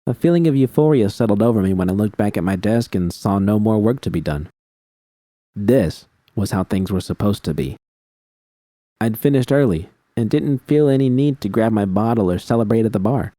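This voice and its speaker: Male audiobook narrator for first-person fiction, low and dark in tone, scripted read. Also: lively intonation, moderate pace, no room sound, studio quality.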